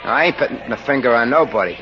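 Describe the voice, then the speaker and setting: Actor as cocky New York small-time crook. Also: male voice, medium pitch, lively intonation, music in the background, lightly acted. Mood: wheedling. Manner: slangy, brash, fast-talking wise guy. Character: cocky, wheedling small-time male pickpocket turned carnival barker. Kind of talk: 1940s radio drama acting. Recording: noisy, dull old radio recording.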